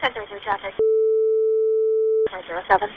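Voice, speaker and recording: A woman working as a police dispatcher, giving clipped, businesslike procedural calls over a police radio. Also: fast talker. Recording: lo-fi, noisy police scanner radio.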